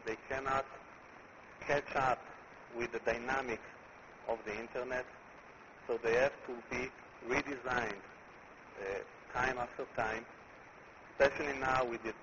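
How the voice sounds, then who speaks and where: A man's voice: medium pitch, fairly even intonation. Israeli-accented man giving a muffled conference talk. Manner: deliberate, repeats words while building points. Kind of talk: conference presentation. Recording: muffled recording with clear background noise.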